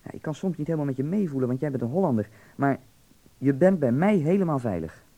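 Mellow, persuasive young Berliner. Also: male voice, on the high side, animated, quick pace. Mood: reassuring. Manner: earnest, persuasive, explaining patiently. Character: worldly young Berlin man, candid, insistent yet reassuring. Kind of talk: radio drama acting.

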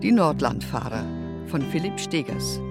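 A woman announcer reading scripted credits in a measured way. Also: extremely low pitch, very animated. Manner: slow, measured. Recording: loud guitar music behind.

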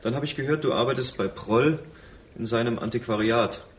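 An actor in a German radio drama playing a bitter former lover who speaks in measured, accusing phrases. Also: male voice, medium pitch, a little hiss or hum, natural voice. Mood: bitter, reproachful. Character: reproachful, bitter former lover, sober and probing.

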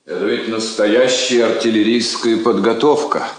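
An actor playing a bookish, passionate middle-aged diplomat, wry and rhetorical, in a theatrical radio drama. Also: male voice, fairly low pitch, fairly even intonation, normal speed, close to an everyday voice, rough recording. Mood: agitated, puzzled.

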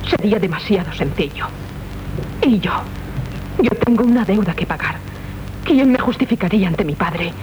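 A woman's voice: mid-range voice, expressive, moderate pace, pauses often, darkish tone, close to an everyday voice. An actress playing a proud, grieving young noblewoman who demands justice, solemn and declamatory in a 1970s Spanish radio drama. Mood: anguished. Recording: muffled, noisy 1970s radio tape.

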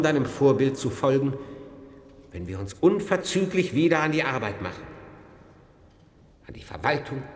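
A man's voice: lowish voice, slightly rough. A man playing a formal, paternal senior official of an underground survivor community in a science-fiction radio drama. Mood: rousing, solemn.

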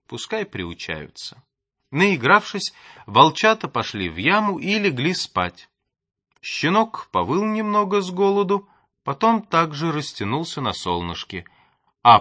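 Bright-voiced man narrating a storybook, dry sound. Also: lowish voice, dry recording. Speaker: audiobook narrator. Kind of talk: expressive scripted storybook narration.

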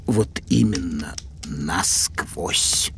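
An actor playing a proud, absorbed Italian scientist of the seventeenth century in an educational audio drama, speaking deliberately and theatrically with pauses. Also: male voice, deep voice, animated, creaky, a bit bright, a little hiss or hum, exaggerated voice. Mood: proud explaining.

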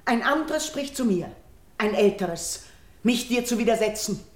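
A solemn priestess, roomy echo. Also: female voice, fairly high pitch, expressive, a little slow. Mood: firm defiance. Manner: solemn, declamatory verse delivery. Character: proud, principled priestess princess, solemn and defiant. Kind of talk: classical verse radio drama. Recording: roomy, echoing studio sound.

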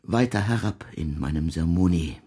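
An actor playing a tormented middle-aged composer in a radio drama, speaking a halting, repetitive, solemn confession in archaic formal language, with a husky voice. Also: male voice, low-pitched, expressive, warm tone. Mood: solemn, humble. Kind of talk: German radio drama monologue.

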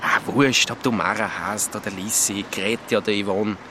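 An actor playing a down-to-earth, laconic Alpine nature lad in a radio play, speaking colloquial Austrian dialect. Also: male voice, fairly low pitch, fairly even intonation, moderate pace, sharp tone, slight background noise. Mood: laconic, teasing.

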